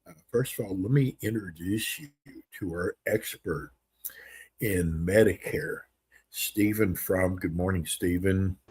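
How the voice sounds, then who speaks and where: A man's voice: low-pitched, unhurried, darkish tone, husky, low-quality recording. A man in his sixties hosting a casual radio talk show, upbeat and earnest in a folksy way. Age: 60s.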